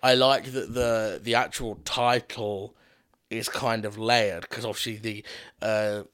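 A man's voice: on the low side, flat delivery, slow, a bit bright, no room sound, studio quality. A man hosting a casual podcast chat, passing on facts he has heard as he talks. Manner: chatty, leans on "apparently", passes on facts he has heard. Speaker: podcast host.

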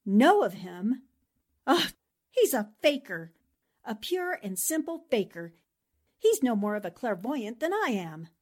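A confident 1940s radio newspaperwoman, played by an actress, wry and knowing. Her voice sits fairly high and swings expressively, with a measured, unhurried delivery. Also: big pitch swings, a little mellow. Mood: scornful. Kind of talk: 1940s radio drama acting.

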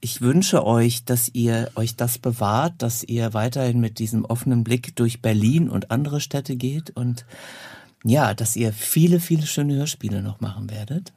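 A man hosts a podcast in a conversational way. His voice sits at a medium pitch and has a husky, less polished edge. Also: normal speed, mellow, dry recording. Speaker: podcast host. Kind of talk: conversational interview and podcast outro.

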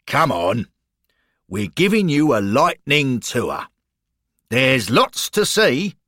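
Man playing a keen, animated tour guide. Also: medium pitch, no room sound, studio quality, pitched above the performer's own voice. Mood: brisk, eager. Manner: brisk, enthusiastic, informative. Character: keen, cheery male club-history guide in the afterlife.

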